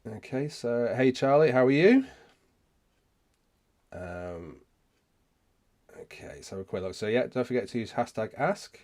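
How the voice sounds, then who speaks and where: A man hosting a casual live question-and-answer session, speaking haltingly and often rephrasing himself as he goes. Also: medium pitch, expressive, a little mellow. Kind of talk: casual livestream chat, answering viewer questions.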